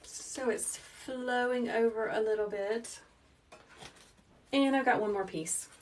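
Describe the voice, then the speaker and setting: A woman in her thirties hosting a live wreath-making tutorial, chatting calmly with viewers in a soft teacherly way. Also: a little high, slow pace. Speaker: wreath-making livestream host. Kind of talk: casual live craft tutorial. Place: small indoor craft workroom. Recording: slightly distant mic with faint noise.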